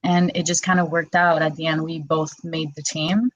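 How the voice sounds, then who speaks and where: A woman's voice: low voice, flat delivery, normal speed, dry recording, highs cut off. A woman in her twenties from Quebec, a wrestler chatting casually as a guest and telling her story with lots of "like". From Quebec, Canada. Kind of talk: casual interview chat.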